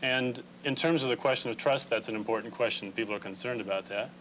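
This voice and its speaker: An American man answering interview questions as an organization's representative, explaining things in a measured, careful way. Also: medium pitch, a bit bright. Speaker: Quaker relief organization representative, interview guest. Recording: noisy, humming old TV recording.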